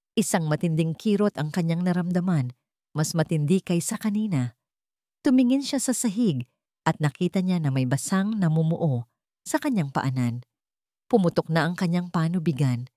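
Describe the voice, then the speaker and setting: A woman's voice: sits low, animated, normal speed, mellow, dry recording, clean studio sound. A woman with a Filipino accent reading a dramatic scripted story as its narrator.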